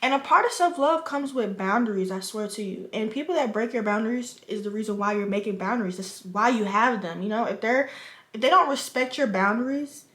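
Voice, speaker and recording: Twenty-something woman from the virgin islands doing makeup and girl talk, frank and sisterly, a bit high, roomy echo. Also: a little fast, a bit bright. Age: 20s. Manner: chatty, frank, sisterly, swears freely. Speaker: vlogger. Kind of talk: casual chat while doing makeup. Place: home room at a vanity.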